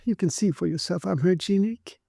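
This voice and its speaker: A frightened young servant girl with a shrill voice, stammering as she pleads in a storybook reading, put on by a male narrator. The voice sits high, with a mellow tone. The recording is close and dry. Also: fairly quick, gravelly, slightly rough, dry recording, heavily acted. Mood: frightened pleading.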